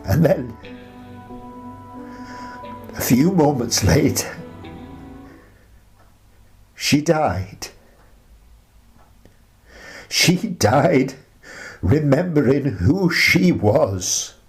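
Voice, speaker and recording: Man playing a slow, grieving old widower. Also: mid-range voice, big pitch swings, soft background music, exaggerated voice. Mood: grieving, emotional. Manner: slow, halting, reflective delivery. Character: bereaved old widower, tender, wistful, living in playhouse. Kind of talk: radio play monologue.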